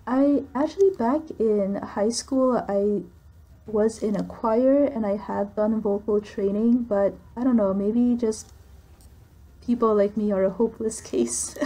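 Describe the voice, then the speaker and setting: A young woman with a soft voice, answering viewer questions in a casual voiceover recorded on a computer's built-in microphone. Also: medium pitch, rough recording. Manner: soft-spoken, relaxed, with frequent ums and likes. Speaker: gaming channel creator.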